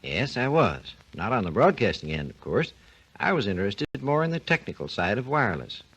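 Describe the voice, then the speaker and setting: A man with a somewhat husky voice explains technical history in an even, lecturing manner. His pitch moves freely and expressively as he talks. The sound is an old 1940s radio recording. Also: fairly quick, poor audio. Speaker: research engineer guest. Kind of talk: scripted 1940s radio talk.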